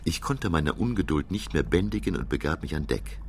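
An actor narrating a radio play as a grave professor recounting a sea adventure, solemn and suspenseful. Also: male voice, extremely low pitch, lively intonation, fairly quick.

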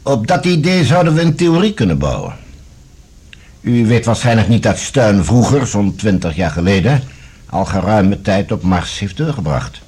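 A man acting a formal, authoritative general in a science-fiction radio drama, with a husky voice and a deliberate, questioning manner. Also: fairly low pitch, big pitch swings, a little mellow, heavily acted. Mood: pondering. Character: authoritative male general, probing and measured questioner.

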